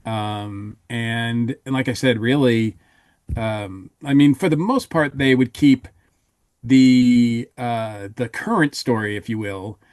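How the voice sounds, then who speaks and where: A man on a podcast panel giving a casual review, halting as he thinks aloud. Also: mid-range voice, darkish tone, no echo, clean studio sound.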